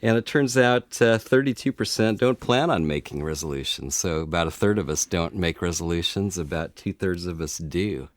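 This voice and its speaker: Low-voiced middle-aged American man chatting. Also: expressive, normal speed, few pauses, no echo. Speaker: talk show co-host. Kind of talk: casual chat.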